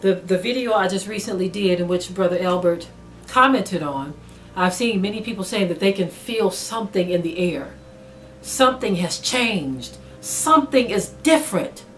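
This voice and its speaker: A woman preaching a sermon to an online audience, earnest and exhorting, slowing down to stress her points. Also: medium pitch, expressive, a bit bright, soft background music. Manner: earnest, exhorting, slow and emphatic with rising intensity. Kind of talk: spoken sermon to a video audience.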